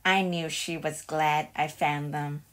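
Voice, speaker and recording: A woman reading a children's picture book aloud as a storyteller, in an expressive and deliberate way. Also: sits low.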